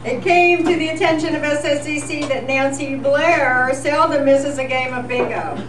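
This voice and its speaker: A woman's voice: sits high, steady tone, normal speed. A woman hosting a live show as a radio news reporter, reading scripted old-time radio news in a senior center hall. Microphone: picked up from far off. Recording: roomy, noisy, distant live event recording.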